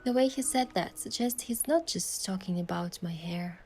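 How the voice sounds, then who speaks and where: An actress playing a playful, affectionate young heroine who softly narrates her own love story in a romance game. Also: on the low side, moderate pace, soft background music, lightly acted. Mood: tender.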